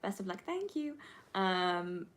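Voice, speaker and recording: A woman's voice: medium pitch, sing-song, slow. A woman in her twenties, a native Flemish Dutch speaker, chatting casually to livestream viewers in a rambling, candid, sweary and emphatic way. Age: 20s. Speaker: livestreamer and film student. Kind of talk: casual livestream chat answering viewer questions.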